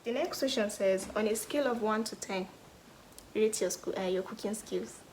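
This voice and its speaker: A young Nigerian woman answering get-to-know-me questions in a casual vlog, thoughtful, pausing often and sometimes dropping to a whisper. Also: mid-range voice, a little hiss or hum, rough recording. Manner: thoughtful, pauses with "hmm" and "um", drops to a soft whisper at times. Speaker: vlogger.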